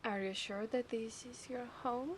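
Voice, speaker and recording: Obsessive, seductive mermaid played by a woman, Italian accent, unhurried teasing half-whispers, ASMR roleplay, light ambient noise. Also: a little high, fairly even intonation, natural voice. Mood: playful, curious. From Italy.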